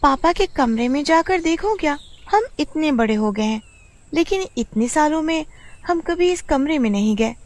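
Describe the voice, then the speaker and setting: Woman voicing a high-pitched, eager village girl. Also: animated, normal speed. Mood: secretive, curious. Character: curious, eager young village girl, elder twin sister. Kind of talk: storybook character voice.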